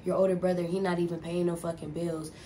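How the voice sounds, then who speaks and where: A woman's voice: lowish voice, flat delivery, fast talker, dark tone, slight background noise. A young woman telling a casual storytime, rambling and profane with lots of filler words. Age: young adult. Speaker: vlogger.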